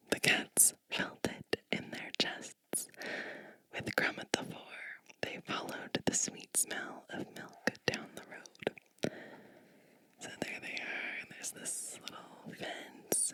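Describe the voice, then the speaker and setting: A woman reading a picture book aloud as ASMR in a breathy, gentle whisper, lingering on phrases and adding little asides. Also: dry recording, rough recording.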